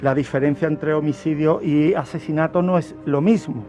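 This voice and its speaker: A man's voice: on the high side, steady tone, normal speed, mellow, faint music behind. A man giving didactic, emphatic explanations in a radio discussion. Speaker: criminology commentator on a radio show.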